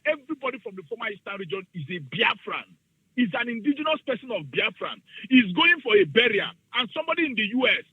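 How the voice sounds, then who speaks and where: Man, Nigerian accent, radio caller, fervent and preachy, high husky voice, tinny phone line. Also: no echo. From southeastern Nigeria. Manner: fervent, preachy, mixes in Igbo phrases. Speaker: radio call-in listener. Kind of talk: impassioned call-in speech.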